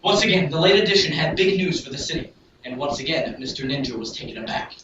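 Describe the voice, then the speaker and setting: A man's voice: a little high, expressive, fast, highs cut off, very noisy. Echo-drenched male story narrator. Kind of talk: dramatic amateur story narration. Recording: very echoey, distant, loud music behind.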